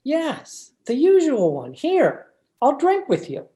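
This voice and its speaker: A man playing a henpecked, scheming husband in an old-time radio horror drama, coaxing in a deliberate, repetitive way. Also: extremely high pitch, huge pitch swings, mellow, exaggerated voice. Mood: coaxing, sly.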